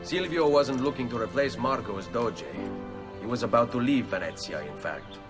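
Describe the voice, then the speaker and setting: An actor playing a brooding, determined Italian assassin in a video game, speaking gravely and with measure in an Italian accent. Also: male voice, medium pitch, lively intonation, moderate pace, distant mic, music in the background, lightly acted. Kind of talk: dramatic video game acting.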